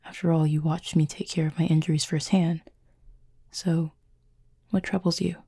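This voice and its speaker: A woman playing an injured fallen cupid in an intimate roleplay audio, soft and breathy, with a formal, measured, dryly aloof but curious manner. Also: low-pitched, level tone. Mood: guarded, formal. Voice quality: soft, breathy, husky with fry. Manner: measured, formal, wordy phrasing.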